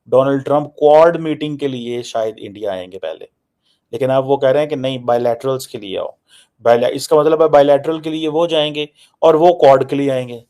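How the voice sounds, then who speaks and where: A man's voice: medium pitch, darkish tone. A man with a Pakistani accent giving informal political commentary, emphatic and repetitive, dropping English phrases into his talk. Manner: emphatic, repeats phrases for effect. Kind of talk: informal news analysis, mixing English into his speech.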